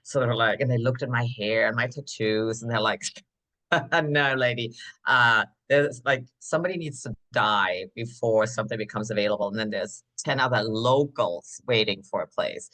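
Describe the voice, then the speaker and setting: A woman, a podcast guest telling her story in an animated, emphatic way, full of "like" fillers. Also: rumbling low. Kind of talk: conversational interview storytelling.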